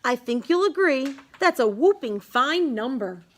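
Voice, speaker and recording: A woman reading a rhyming children's picture book aloud in a measured, singsong way. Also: high-pitched, animated. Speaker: storybook reader.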